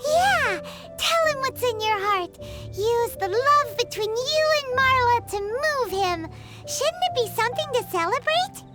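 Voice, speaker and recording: A tiny game fairy girl chatters in an extremely high, childlike squeak. She is cheeky and perky, swinging freely in pitch. Loud music plays behind her. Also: animated, measured pace. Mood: encouraging. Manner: perky, blunt. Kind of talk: video game character acting.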